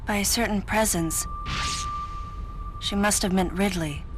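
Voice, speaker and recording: An actress playing a stoic, reserved female bounty hunter in a video game, speaking in a measured, deliberate, analytical way. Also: medium pitch, background noise, lightly acted. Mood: brooding, analytical. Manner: measured, deliberate, restrained delivery. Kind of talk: video game cutscene dialogue and inner-monologue narration. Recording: studio voice with loud music.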